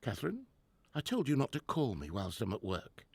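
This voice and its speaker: A man's voice: mid-range voice, huge pitch swings, moderate pace, gravelly, a little mellow, husky, no echo, exaggerated voice. An actor playing a stern, driven widowed professor and father in a 1920s radio drama, with a formal, precise delivery. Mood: irritated, stern. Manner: formal, precise, clipped scolding. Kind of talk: radio drama acting, diary narration. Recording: music under the voice.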